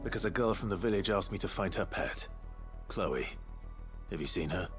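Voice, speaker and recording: A man playing a gruff, principled young wanderer in a video game cutscene, terse and grave. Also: deep voice, expressive, normal speed. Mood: terse, guarded. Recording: music under the voice.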